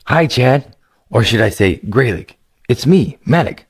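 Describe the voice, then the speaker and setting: A man's voice: medium pitch, expressive, measured pace, husky, dry recording, clean studio sound, pitched above the performer's own voice. A man voicing a playful male gamer friend who greets in a chirpy, teasing way in a storybook reading.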